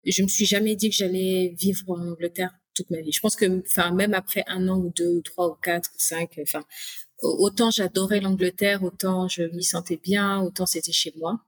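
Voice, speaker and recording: A woman's voice: on the low side, fairly even intonation, moderate pace, husky. A woman in her late thirties, a guest in a casual interview, chatty and rambling with many fillers and restarts. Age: late 30s. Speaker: podcast guest.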